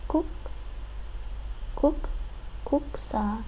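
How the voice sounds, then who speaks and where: A woman streamer thinking aloud as she works through a lesson, hesitant, with many fillers and restarts, relaxed and self-deprecating. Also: high-pitched, extremely slow, poor audio. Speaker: live streamer. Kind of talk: thinking aloud while doing a language app.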